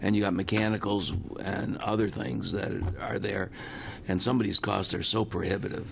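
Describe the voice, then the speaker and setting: Gravelly-voiced man at a hearing. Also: on the low side, level tone, moderate pace, a bit bright, a little hiss or hum. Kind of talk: public hearing remarks. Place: county hearing chamber.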